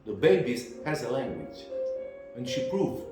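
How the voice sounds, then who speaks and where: An older man with a Romanian accent talks in a slow, halting way and keeps stopping to find the right English word. His voice sits at a middling pitch. It rings in an echoey room with music playing clearly behind him. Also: big pitch swings. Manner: halting, searching for English words. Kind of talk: casual conversation.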